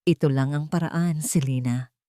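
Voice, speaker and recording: A woman's voice: sits low, moderate pace, mellow, no room sound, studio quality, lightly acted. A woman voicing an anxious, loyal female friend in an audio drama, urgent and serious. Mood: grave warning.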